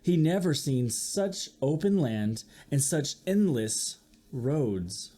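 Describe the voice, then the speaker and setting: A teacher reading a picture book aloud to young listeners, expressively and with chatty asides. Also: medium pitch. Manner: slow, expressive, with acted-out sound effects. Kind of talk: children's story read-aloud with asides to listeners.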